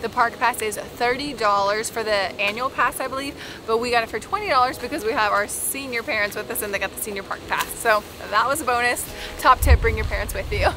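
A woman's voice: fairly high pitch, a little fast, hardly any pauses, music in the background, poor audio. A woman travel vlogger talking casually outdoors on a road trip, chatty and enthusiastic as she gushes about food and sights. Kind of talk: casual travel vlog narration.

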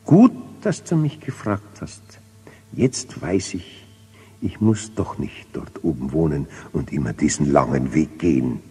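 Old man doing a little forest gnome from a bedtime story, earnest, low n really husky, reverby old cassette. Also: big pitch swings, a little slow, dark tone, soft background music, exaggerated voice. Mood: relieved. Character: small male root gnome, earnest and peace-loving.

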